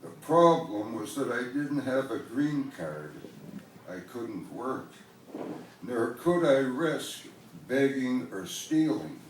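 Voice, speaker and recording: A man from Canada reads literary prose to a live venue at a slow pace. His phrasing is measured, with deliberate pauses. The sound is distant and heavily echoing, with faint noise underneath. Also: mid-range voice, very animated. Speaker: author reading his own work. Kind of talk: literary prose reading at a book launch. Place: indoor event venue, recorded from the audience.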